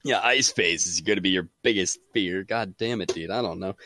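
A man chatting casually about games as a podcast guest, excitable and saying "dude" often. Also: sits low, moderate pace, no echo. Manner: excitable, says "dude" a lot. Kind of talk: casual gaming chat.

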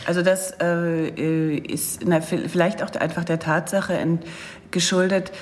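Low-voiced woman interviewing. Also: level tone, a little slow. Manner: direct, teasing questions. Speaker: podcast host.

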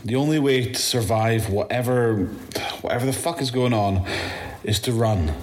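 A man with a Scottish accent playing a weary, rattled zombie-apocalypse survivor in an audio drama, speaking haltingly and swearing as he records his testimony. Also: medium pitch, slight background noise, natural voice. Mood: grave, urgent.

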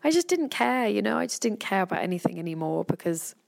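A woman's voice: medium pitch, big pitch swings, fast, creaky, poor audio. An English woman in her thirties, talking candidly in a podcast conversation and swearing freely. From England.

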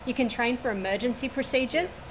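A woman's voice: on the high side, brisk. A woman in her forties with an Australian accent, an air traffic controller giving detailed, matter-of-fact interview answers at a regional airport. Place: at a regional airport, overlooking the runway.

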